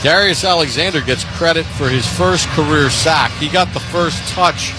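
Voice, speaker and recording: A man's voice: a little high, normal speed, loud music behind the voice. A man calling play-by-play in live radio football commentary. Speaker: play-by-play announcer. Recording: loud stadium ambience behind commentary.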